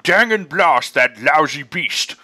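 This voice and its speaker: A man's voice: a little high, lively intonation, slow pace, bright, forceful tone, no room sound, pitched above the performer's own voice, heavily acted. A man voicing an enormously fat, furious chicken farmer who curses and blusters, in a storybook reading. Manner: blustering, cursing.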